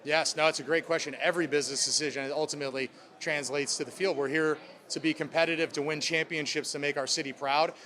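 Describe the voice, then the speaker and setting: Guy exec doing press q&a, slightly higher voice, upbeat corporate talk. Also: steady tone, fast, few pauses, sharp tone. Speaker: sports club president at a press conference. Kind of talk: answering reporters' questions.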